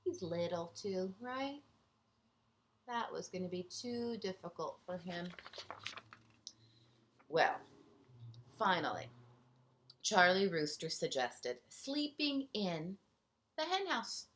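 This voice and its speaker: Slow, expressive woman reading a storybook. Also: fairly low pitch. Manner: gentle teacherly, pausing to ask the listeners questions. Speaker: teacher reading a picture book to young children. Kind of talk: storybook read-aloud with chatty asides to children.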